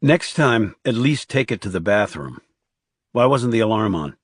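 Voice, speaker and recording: A guarded, protective hitman, voiced by a man narrating in an audiobook thriller. He speaks in short, serious sentences. The voice is fairly low and very dry, with no room around it. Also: lively intonation, normal speed, slightly rough, dry recording. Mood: firm. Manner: terse, serious. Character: terse, guarded male government assassin, protective. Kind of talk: audiobook character dialogue.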